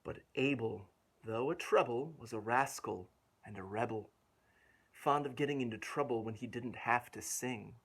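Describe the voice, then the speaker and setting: A man reading a rhyming picture book aloud to young children in a playful, expressive way with dramatic emphasis. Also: mid-range voice, moderate pace, breathy edge. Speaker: children's storyteller.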